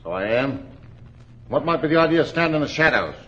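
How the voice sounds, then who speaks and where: A surly innkeeper in a vintage radio thriller, gruff and threatening. His voice is forceful and cutting, with rough colloquial talk, on a dull old recording. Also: fairly high pitch, lively intonation, quick pace. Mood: surly, defensive. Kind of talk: 1940s radio acting.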